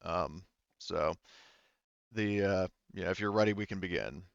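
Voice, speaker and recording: A man voicing a courteous sheriff in a tabletop game, halting and official in an avuncular way. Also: low voice, gravelly, no echo, highs cut off. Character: male sheriff, a civil marshal, folksy and formal.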